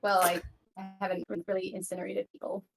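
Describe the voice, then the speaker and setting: A woman's voice: medium pitch, monotone, quick pace, gravelly, noisy background. A woman player in a tabletop roleplaying game, chatting casually in character with a dry, understated manner. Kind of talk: casual in-character improvised chat.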